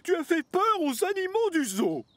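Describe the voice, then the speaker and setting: Stern male controller, cartoon pomposity, high voice with big pitch swings. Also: very animated, normal speed, a bit bright, no echo, exaggerated voice. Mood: scolding. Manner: pompous, reprimanding. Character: stern, scolding male railway controller, boss. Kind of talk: children's cartoon dub acting.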